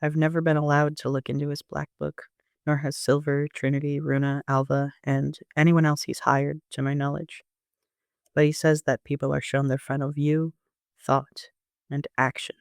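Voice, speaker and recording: A man narrating a first-person story like an amateur audiobook reader, with an even storytelling delivery. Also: a little high, normal speed, vocal fry, smooth, no room sound, clean studio sound.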